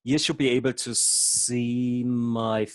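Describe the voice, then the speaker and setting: A man's voice: mid-range voice, steady tone, takes it slowly, no room sound, studio quality. German man presenting a workshop. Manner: explanatory, self-deprecating, occasional stumbles. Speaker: guest presenter, hypnotherapist. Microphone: video call.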